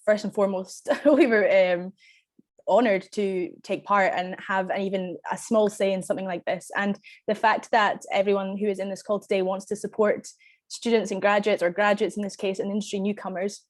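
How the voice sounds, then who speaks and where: A woman with a cold, sounding croaky as a guest speaking earnestly on a video call. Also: medium pitch, steady tone, normal speed. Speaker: guest from a graduate events group. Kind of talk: casual remarks on a video call.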